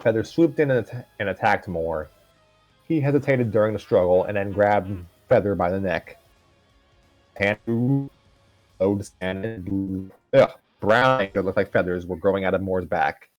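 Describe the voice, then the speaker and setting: A man's voice: fairly low pitch, measured pace, dry recording, highs cut off, soft background music. A man reading a fan story aloud on a livestream, casually, with stumbles and asides.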